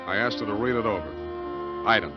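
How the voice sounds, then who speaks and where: An actor playing a tough, brusque, slangy private detective in a 1940s radio drama. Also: male voice, on the low side, moderate pace, close to an everyday voice. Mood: grim narrating. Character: tough, fast-talking hard-boiled male private detective. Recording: dull vintage 1940s radio, music behind.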